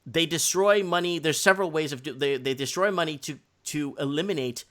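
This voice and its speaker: A man hosting a casual live talk, speaking haltingly and repeating his phrases as he goes. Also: on the high side, fairly bright tone, dry recording, studio quality. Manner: halting, repeats phrases, frequent short agreement. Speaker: host of a live stream.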